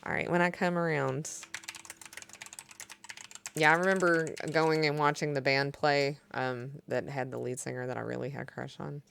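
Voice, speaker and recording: A woman's voice: sits low, fairly even intonation, normal speed, vocal fry, fairly bright tone, clear, resonant tone. A woman from Kentucky with a Kentucky accent, chatting candidly with stream viewers between songs and laughing often as she tells stories. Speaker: livestreaming singer-guitarist. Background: acoustic guitar.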